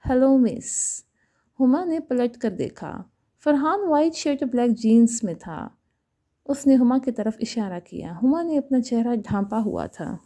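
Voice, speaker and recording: A woman's voice: a little high, animated, a little slow, dark tone. A woman reading a scripted romantic story aloud as a storyteller.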